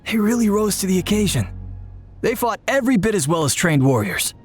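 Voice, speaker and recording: Lively, animated young fighter. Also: female voice, medium pitch, brisk, breathy edge, soft background music. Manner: animated, chatty.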